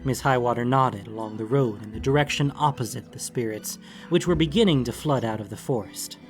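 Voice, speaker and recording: Male audiobook narrator, mid-pitched, dramatic scripted fantasy read, clear music bed. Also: brisk. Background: background music.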